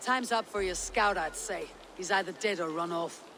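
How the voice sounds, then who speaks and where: Game lead: young Viking warrior, high-set voice, firm, terse, used to giving orders. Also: male voice, moderate pace, sharp tone, slight background noise. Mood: impatient. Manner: terse, commanding. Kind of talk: video game cutscene acting.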